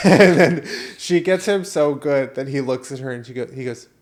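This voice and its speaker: A man's voice: mid-range voice, normal speed. Man telling stories in dramatic, chatty podcast style. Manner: animated, dramatic storyteller who acts out reactions and quotes. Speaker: podcast co-host. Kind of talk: casual comedic storytelling chat.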